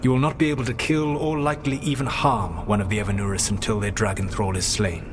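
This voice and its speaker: An actor playing an ancient elven mage in a fantasy game, speaking in a measured, formal, solemn and regretful manner. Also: male voice, on the low side, fairly even intonation, noisy background, natural voice. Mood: grave, scornful. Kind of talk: scripted fantasy game dialogue.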